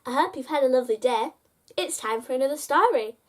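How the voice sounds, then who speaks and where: Female storyteller with a high-pitched voice for children's bedtime picture books, engaging young listeners directly. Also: expressive, quick pace, darkish tone. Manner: addresses child listeners with prompting questions. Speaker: host reading children's picture books aloud.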